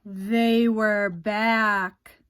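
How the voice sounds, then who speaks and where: A woman reading a children's picture book aloud as a storyteller, in an expressive, dramatic way with drawn-out suspense. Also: mid-range voice, clear, resonant tone.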